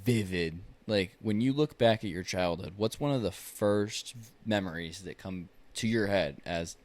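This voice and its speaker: A man's voice: low-pitched, unhurried. A man in his twenties co-hosting a podcast, chatting casually, rambling and swearing. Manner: rambling, swears, restarts a lot. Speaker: podcast co-host. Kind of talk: casual chat.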